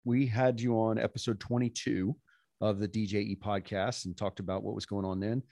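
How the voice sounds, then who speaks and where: Low-voiced man hosting a podcast. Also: moderate pace, gravelly, no room sound, clean studio sound. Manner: relaxed, with frequent fillers and restarts. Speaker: podcast host. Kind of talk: casual interview chat.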